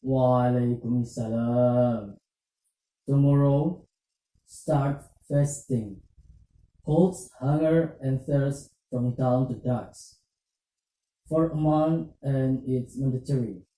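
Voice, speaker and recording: A woman voicing an older male Islamic teacher in a puppet play, patient and instructive, with measured questioning. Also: very deep voice, fairly even intonation, dark tone, reverberant, recorded from a distance, voice pushed down, exaggerated voice, rough recording. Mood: patient, probing.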